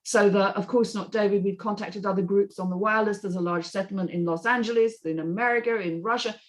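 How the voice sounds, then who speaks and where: A woman's voice: medium pitch, steady tone, quick pace. A woman on a script reading panel giving an unscripted, emphatic and blunt critique in discussion.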